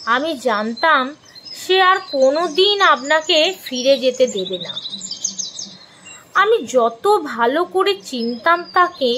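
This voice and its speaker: A woman playing a sorrowful, gently reproachful widow in an audio story drama, speaking deliberately with emotive pauses. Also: sits high, expressive, slight background noise, heavily acted. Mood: pained confession. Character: sorrowful young widow, gentle yet reproachful, confessing long suffering.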